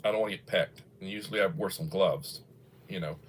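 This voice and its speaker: A man's voice: sits low, fairly quick, a little hiss or hum. A man in his early sixties, chatting casually on a livestream, rambling and folksy as he reads viewer comments aloud. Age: 60s. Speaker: livestream host.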